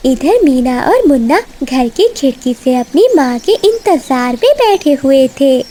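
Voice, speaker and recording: A woman tells a cartoon fable as a scripted narrator. Her voice is high but soft-edged, and she takes the storybook lines slowly. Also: sing-song.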